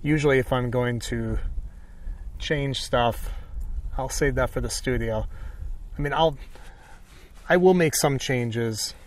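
A man teaches painting in a casual, informal way, thinking aloud in short phrases as he works. His voice sits at an ordinary middle pitch. He is recorded a little way from the microphone. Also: slow, pauses often, far from the mic. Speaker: painting instructor. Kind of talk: casual painting tutorial commentary.

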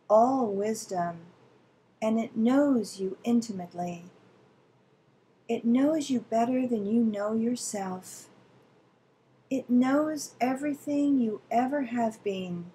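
A woman leading a guided visualization meditation in a soothing, gentle, deliberate manner with long pauses. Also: on the high side, a little hiss or hum, low-quality recording.

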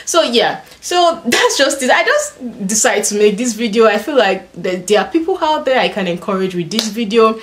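A young woman with a Nigerian accent, talking casually to camera in a small bedroom, chatty and animated, with lots of "like" and "and stuff.". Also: fairly high pitch, normal speed, hardly any pauses, slight background noise. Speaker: vlogger.

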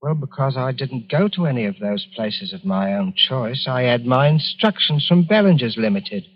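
A man's voice: mid-range voice, expressive, moderate pace, lightly acted. An actor playing a meek, troubled travelling salesman accused of murder in an old radio drama, hesitant, polite and brooding. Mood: troubled, bewildered. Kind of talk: 1940s radio drama acting. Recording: muffled old radio recording.

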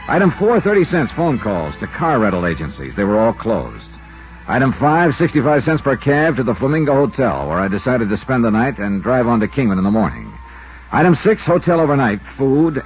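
An American actor playing a wisecracking insurance investigator with a breezy, wry, clipped delivery, in 1950s radio style. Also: male voice, medium pitch, dull audio. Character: wisecracking freelance insurance investigator, fond of fishing. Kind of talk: 1950s radio detective acting and narration. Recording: band-limited 1950s radio recording with music.

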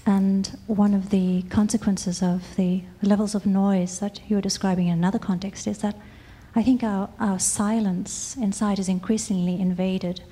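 A woman's voice: mid-range voice, level tone, normal speed, warm tone, slightly rough. A woman from South Africa, an audience member speaking earnestly into a handheld mic in a large auditorium. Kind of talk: audience question. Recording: long hall reverb.

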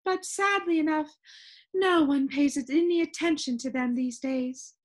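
Storybook soundkeeper, played by a woman: high, soft, singsong, wistful, genteel and dreamily chatty. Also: a little slow. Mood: dreamy, rapt. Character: gentle, dreamy, talkative woman soundkeeper who adores silence.

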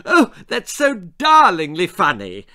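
A man voicing a shrill, self-obsessed eleven-year-old girl who talks loudly with affected airs in a storybook. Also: wildly swooping pitch, slow, full character voice. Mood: haughty, gushing. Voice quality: shrill, squealing. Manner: haughty, loud, affected grown-up talk.

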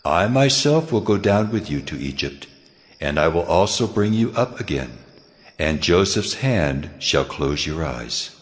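A very low male voice of God in an audio Bible drama voiced by an actor. He is solemn and commanding, giving measured reassurance as if from a night vision. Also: big pitch swings. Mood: solemn, reassuring.

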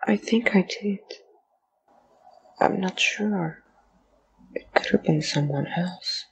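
A woman's voice: lowish voice, slow pace, gravelly, a little hiss or hum, poor audio. A woman playing a shy, mournful young male ghost in an audio drama, hesitant and stammering. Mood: timid, downcast.

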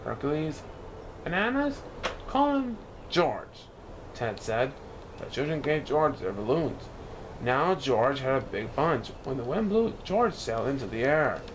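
A man reads a children's storybook aloud in an animated storytelling manner, now and then stumbling over a word. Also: mid-range voice, measured pace, background noise. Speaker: storybook reader.

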